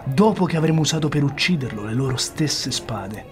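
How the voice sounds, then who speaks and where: An actor playing a tormented, ambitious noble warrior in an Italian radio drama, solemn and theatrical. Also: male voice, medium pitch, expressive, brisk, over music, lightly acted. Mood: grimly resolved.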